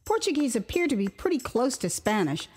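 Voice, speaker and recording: Expressive American woman storyteller over music. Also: high-pitched, fairly quick. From Houston, Texas, United States. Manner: expressive and theatrical, with amused asides. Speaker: author reading her own memoir. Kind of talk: dramatized first-person storytelling narration.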